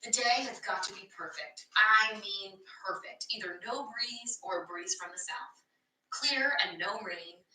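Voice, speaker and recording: A woman's voice: medium pitch, a little slow, sharp tone. A woman voicing a cocky, impatient boy inventor in a storybook, boastful and scoffing toward his brother. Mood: confident, bossy. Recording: echoey room, distant microphone.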